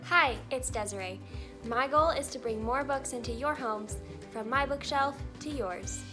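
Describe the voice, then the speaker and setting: A woman hosting a children's read-aloud, telling a storybook in an animated, expressive way with emphatic exclamations. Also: high voice, unhurried. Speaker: read-aloud channel host. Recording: music behind the voice. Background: music.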